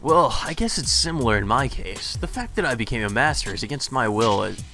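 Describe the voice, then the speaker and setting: A man's voice: medium pitch, lively intonation, brisk. A man hosting a let's play, reading game dialogue aloud and adding casual, blunt commentary. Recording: music playing under the voice.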